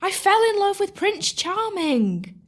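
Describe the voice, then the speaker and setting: A woman's voice: very high-pitched, very animated, slow, a bit bright, full character voice. A woman playing a lovelorn, earnest young servant girl in an audio pantomime, sighing and plaintive. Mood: dreamy.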